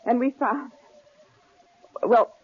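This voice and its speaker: An actress playing a frightened young wife in an old radio drama, talking in a breathless, pleading, tremulous way. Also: female voice, a little high, drawn-out words, husky, heavily acted. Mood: anxious. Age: young adult. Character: frightened young wife trapped in a snowbound cabin. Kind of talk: 1950s-70s radio drama acting. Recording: tinny, lo-fi old radio recording with faint hiss.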